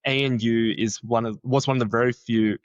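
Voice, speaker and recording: A young man who is a researcher, talking in a chatty, animated way and filling his sentences with "like.". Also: fairly quick, dry recording, dull audio. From Australia. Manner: chatty, many "like" fillers, lively swings in pitch. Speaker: researcher, podcast guest. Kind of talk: casual conversational interview.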